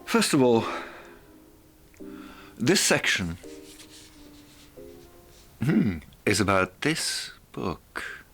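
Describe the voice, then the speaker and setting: Slow, dramatic man presenting a monologue. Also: mid-range voice, very animated, fairly bright tone, faint music behind. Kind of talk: reflective spoken monologue.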